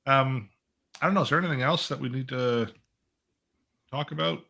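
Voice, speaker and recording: A man's voice: mid-range voice, moderate pace, gravelly, pushed and bright. A man talking conversationally as a financial advisor on a panel, explaining things through little imagined dialogues and check-ins. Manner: explanatory, uses acted-out client dialogue and rhetorical check-ins. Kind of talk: conversational live panel talk.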